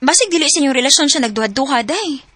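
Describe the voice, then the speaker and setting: A woman's voice: high-pitched, animated, very fast, fairly bright tone, no room sound. An actress playing a blunt woman confidante who asks pointed questions in a radio drama. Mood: probing.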